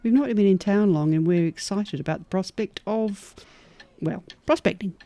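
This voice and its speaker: A woman's voice: medium pitch, normal speed, mellow, no echo, lightly acted. A woman playing a bold, fierce werewolf prospecting in the Old West in a horror audio drama, confident and wry. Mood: confident, polite.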